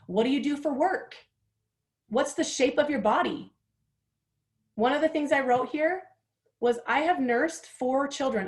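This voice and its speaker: A woman of forty with a chatty, candid manner, guiding listeners through a writing exercise and laughing at herself as she goes. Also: sits high. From Utah, United States. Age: 40s. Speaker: workshop host. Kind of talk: guided writing workshop.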